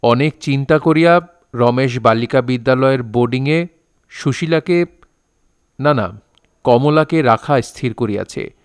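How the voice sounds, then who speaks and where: Actor as lofty master storyteller, imperious, measured, formal literary narration, mid-pitched, dry close voice. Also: male voice, clean tone, no room sound, close to an everyday voice. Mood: narrating. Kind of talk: literary narration in formal old-fashioned Bengali audio drama.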